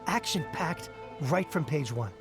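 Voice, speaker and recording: A candid young male fan from a video game. His voice sits a bit high, and he explains things frankly over clear music. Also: animated, a little slow. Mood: frank, enthusiastic.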